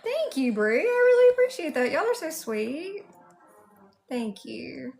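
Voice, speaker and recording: A woman with a Southern US accent chats casually as a livestream host. Her voice sits on the high side and she takes her time, speaking to viewers individually. Music plays behind her. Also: very animated, gravelly, a little mellow. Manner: unhurried, chatty, addressing viewers one by one.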